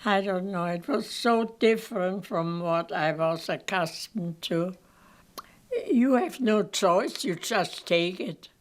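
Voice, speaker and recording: A woman's voice: lowish voice, takes it slowly. An older woman from Germany, telling her life story plainly and matter-of-factly. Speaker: interviewee. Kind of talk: personal life story told in an interview.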